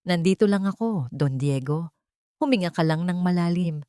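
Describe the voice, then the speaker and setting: A woman playing a devoted young housemaid who speaks gently and pleadingly in an audio drama. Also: on the low side, lively intonation, normal speed, clean tone, dry recording, clean studio sound, natural voice. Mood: tender pleading. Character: devoted, tender young housemaid soothing her employer.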